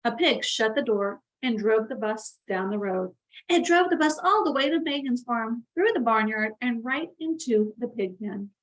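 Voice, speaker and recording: A woman host reading a picture book aloud expressively, talking directly to young listeners in an animated way. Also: fairly high pitch, moderate pace, rough recording. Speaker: read-aloud channel host.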